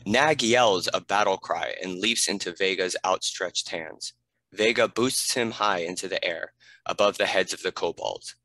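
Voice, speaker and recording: A man's voice: low-pitched, measured pace, bright, forceful tone, no room sound, poor audio. A man reading scripted stage directions as a narrator.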